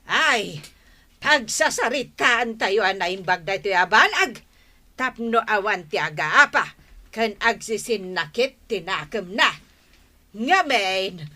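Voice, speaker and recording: Scolding Ilocano mother, high and slow. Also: sharp tone, exaggerated voice. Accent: Ilocano Filipino. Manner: exclaiming, admonishing. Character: scolding, disciplinarian mother and wife. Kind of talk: Ilocano radio drama acting.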